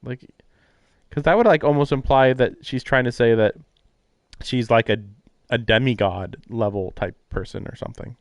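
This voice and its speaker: A man's voice: medium pitch, moderate pace, no room sound, clean studio sound. A man doing casual livestream commentary and reading aloud, puzzled and musing as he goes. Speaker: livestreamer playing a visual novel. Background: game music.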